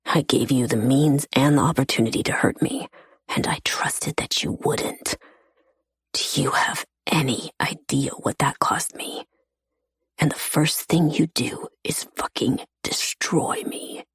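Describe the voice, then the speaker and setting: A woman voicing an angry, hurt young man who speaks in low, breathy, hissing whispers. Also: a little fast, hardly any pauses, dry recording. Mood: wounded. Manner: low hissed whispering, bitter and intense.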